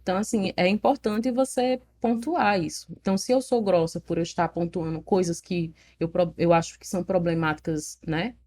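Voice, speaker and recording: Frank, lively Northeastern Brazilian woman. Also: on the low side, normal speed, few pauses. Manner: animated, frank, laughs at herself, tags many phrases with "né". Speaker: poet, interview guest. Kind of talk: casual interview storytelling.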